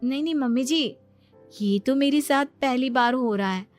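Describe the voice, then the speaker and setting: A woman's voice: sits high, normal speed, soft background music, close to an everyday voice. A woman playing a self-assured, know-it-all young daughter-in-law in a family story, confident and boastful. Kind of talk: acted Hindi family story.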